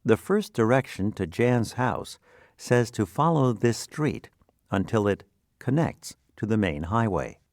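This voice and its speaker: A man narrates a scripted vocabulary lesson in a fairly low voice. His delivery is slow and deliberate, with careful instructional enunciation. The recording is close and dry. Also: very animated, creaky, dry recording.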